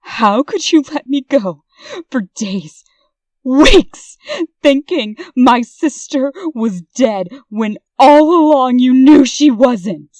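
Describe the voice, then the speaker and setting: A woman playing a stern, sharp-tongued sister in a comic dub, sarcastic and demanding in manner. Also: high-pitched, lively intonation, slow pace, no echo. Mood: angry, hurt.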